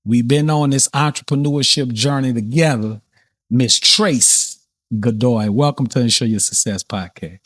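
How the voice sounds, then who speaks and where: A man with a New Orleans accent hosting a podcast, talking in a relaxed, rambling way with many fillers and restarts. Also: mid-range voice, unhurried, dry recording. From New Orleans, USA. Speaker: podcast host. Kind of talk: casual interview chat.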